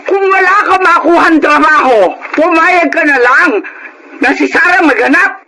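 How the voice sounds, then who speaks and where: Stern high-voiced scolding grandmother. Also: normal speed, sharp tone, exaggerated voice, rough recording. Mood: angry, reproachful. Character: stern, scolding mother-in-law and worried grandmother. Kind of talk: Filipino radio drama acting.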